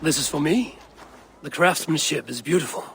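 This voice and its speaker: An actor playing a steady, dry-witted Viking warrior in a video game cutscene. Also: male voice, a little high, normal speed, lightly acted. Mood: curious, friendly. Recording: noisy outdoor ambience.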